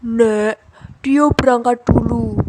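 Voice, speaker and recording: A woman's voice: a little high, fairly even intonation, takes it slowly, background noise. A woman voicing an earnest, polite, lonely young village boy in a children's storybook. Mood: hopeful.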